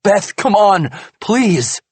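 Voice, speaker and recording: A reader doing a guilty, ashamed husband begging his wife to calm down, his pitch swinging widely as he pleads. Dry, close sound with no room echo. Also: extremely slow, breathy edge, full character voice. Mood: pleading. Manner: pleading, defensive. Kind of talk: audiobook dialogue.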